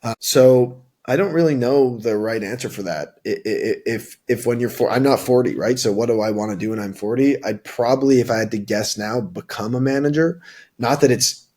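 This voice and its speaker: A man's voice: medium pitch, moderate pace, darkish tone, breathy edge. A young man working in tech sales, answering interview questions casually with lots of fillers, restarts and "like, you know.".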